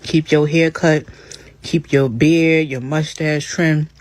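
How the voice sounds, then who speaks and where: A woman's voice: low voice, steady tone, slow, a little mellow, no room sound. A woman giving a blunt, profane lecture in a clipped monologue. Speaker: clip speaker. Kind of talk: ranting monologue.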